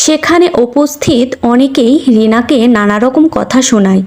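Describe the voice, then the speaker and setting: A woman's voice: on the high side, moderate pace, darkish tone. A woman narrating a scripted children's cartoon story as the storyteller.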